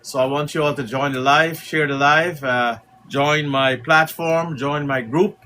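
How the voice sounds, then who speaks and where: A middle-aged man from Guyana hosting a casual livestream as a community leader, talking in a halting way with many fillers. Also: on the high side, steady tone, bright, forceful tone, a little hiss or hum.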